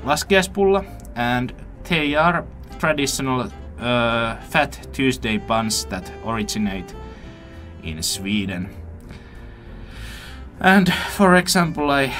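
A young man whose first language is Finnish, narrating his day as a student news host, with relaxed pauses and fillers. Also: mid-range voice, faint music behind. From Finland. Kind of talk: casual vlog narration and news hosting.

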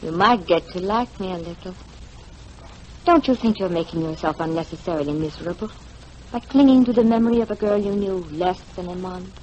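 A woman's voice: fairly low pitch, a little fast, warm tone, close to an everyday voice. A woman acting a seductive, probing society wife in a 1940s radio drama, poised and flirtatious. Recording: faintly noisy 1940s radio recording.

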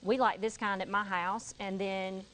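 A woman's voice: mid-range voice, little pitch movement, measured pace, fairly bright tone, rough recording. A woman, a dietitian explaining a recipe on a TV cooking segment in a polite, eager way. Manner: polite, eager, agrees often with yes sir. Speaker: registered dietitian guest. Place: TV studio kitchen set.

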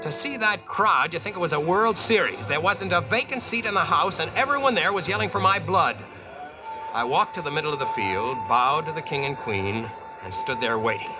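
A man's voice: a little high, animated, normal speed, natural voice, low-quality recording. An actor playing a brash, slangy modern American man in 1940s-style radio drama and narration. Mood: wry storytelling. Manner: slangy, cocky, punchy. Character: brash, wisecracking modern American man, reformer called Sir Boss. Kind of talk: 1940s radio acting and first-person narration. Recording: vintage radio broadcast with music behind.

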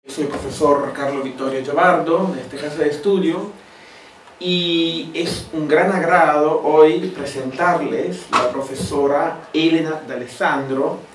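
A man from Italy with an Italian accent, speaking Spanish as a second language, gives a formal, halting introduction as a professor. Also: on the high side, steady tone. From Italy, Turin. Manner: halting, pausing between phrases. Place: wood-panelled university seminar room. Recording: roomy, distant, noisy lecture hall sound.

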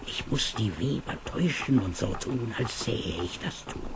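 An actor playing a dutiful, self-important royal minister in a fairy-tale radio play, formal and deliberate. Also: male voice, mid-range voice, lively intonation, background noise, close to an everyday voice. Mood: anxious.

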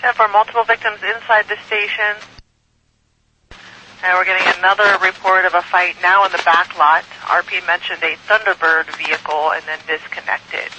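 A man's voice: high voice, steady tone, normal speed, bright, forceful tone, a little hiss or hum, poor audio. A man dispatching police over two-way radio, relaying reports in procedural radio language.

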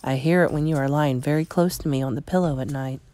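A woman voicing a tender, frank grandmother in her eighties, measured and gentle, in storybook dialogue. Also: sits low, voice pushed down, close to an everyday voice. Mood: tender, wondering. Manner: measured, gentle, matter-of-fact. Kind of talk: acted children's storybook dialogue.

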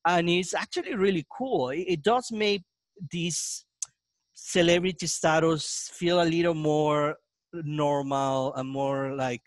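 A man's voice: fairly high pitch, slow pace, no room sound. A man, hosting a casual video-call interview, rambling and restarting his questions. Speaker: podcast host. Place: home basement room with brick wall. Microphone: headset mic.

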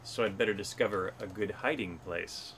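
A man's voice: lowish voice, moderate pace, noisy background, lightly acted. A man voicing a haughty, vain storybook lion full of dismay and despair.

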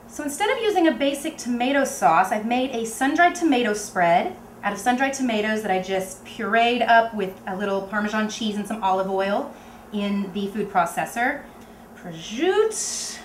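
A woman in her thirties from Oklahoma, cheerfully talking a home cooking demo to camera in her kitchen. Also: medium pitch. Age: 30s. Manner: chatty, bubbly, explains as she cooks. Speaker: home cook auditioning for a cooking show. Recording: echoey kitchen with faint room noise.

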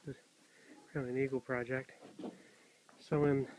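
Middle-aged man, hiking vlogger, handheld camera outdoors, faint wind noise, halting chatty talk. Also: medium pitch, little pitch movement, a little mellow, rough recording. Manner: chatty, halting with many fillers. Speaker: hiker filming his hike. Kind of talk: casual vlog narration. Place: snowy forest on a mountain, outdoors. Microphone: handheld camera at arm's length.

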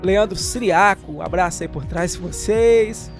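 A man's voice: high-pitched, normal speed. Brazilian male gaming host, chatty and informal, jokey slang, voice-over with music underneath. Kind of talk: casual, slangy game update voice-over.

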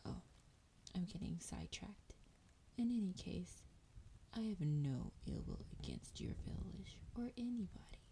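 A woman voicing a sultry, menacing female dragon in a breathy, whispered fantasy roleplay, teasing and drawing out her words. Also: lowish voice, huge pitch swings, dark tone, full character voice. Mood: curious, mildly annoyed. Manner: slow, teasing, drawn-out delivery.

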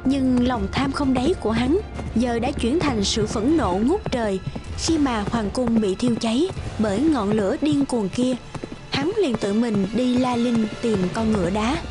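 A woman's voice: on the high side, a little slow. A woman narrating a scripted fairy tale. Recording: music behind the voice.

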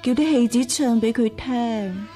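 An actress playing a jealous, sly, gossiping concubine in a radio drama. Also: female voice, a little high, unhurried, mellow, faint music behind, lightly acted. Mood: spiteful, gossiping. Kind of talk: Cantonese radio drama acting.

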